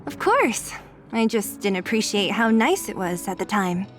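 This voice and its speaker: A woman voicing a devoted, protective young woman in a fantasy game, in an earnest, gentle manner. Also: mid-range voice, very animated, moderate pace, soft background music, close to an everyday voice. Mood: wistful. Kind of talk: anime game voice acting.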